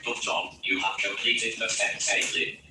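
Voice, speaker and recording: A man's voice: lowish voice, little pitch movement, moderate pace, noisy background. A synthetic male voice of a robot assistant giving scripted step-by-step instructions. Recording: echoey distant playback with music.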